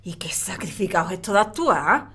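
Chatty, practical middle-aged mum. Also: female voice, lowish voice, lively intonation, normal speed, pushed and bright, husky, exaggerated voice. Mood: grumbling, doubtful. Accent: Spanish from Spain. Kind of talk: naturalistic audio drama acting.

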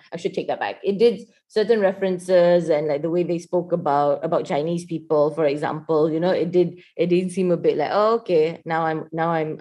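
A woman sharing her thoughts on a play in a casual review chat, hesitant and halting as she searches for words. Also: low voice, dark tone. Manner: hesitant, many fillers and restarts. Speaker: theatre reviewer.

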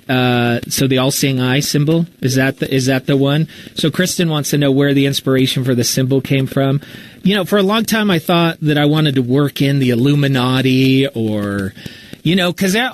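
Male writer in a relaxed Q&A, medium pitch, talks candidly and works out his answers aloud. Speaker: writer and storyteller of a fiction podcast, being interviewed. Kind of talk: casual live interview answers.

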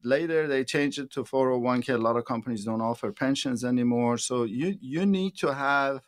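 A man's voice: medium pitch, fairly bright tone, no room sound. A man in his sixties, a financial advisor hosting a radio show, chatting casually with plenty of fillers and repeated words. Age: 60s.

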